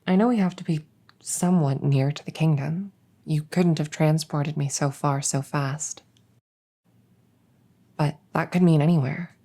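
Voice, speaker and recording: A young princess, acted by a woman in an intimate audio drama, weary with duty and longing for freedom. Her voice is low for a woman, soft and breathy. She talks slowly and hesitantly, in a conversational way. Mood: hesitant, wistful. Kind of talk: intimate audio roleplay acting.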